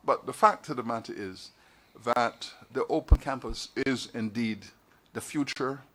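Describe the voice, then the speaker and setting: A man from Barbados giving a formal speech to a council meeting, measured and oratorical, building his points with repeated phrases. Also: mid-range voice, a bit bright. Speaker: university leader giving an address. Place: meeting hall.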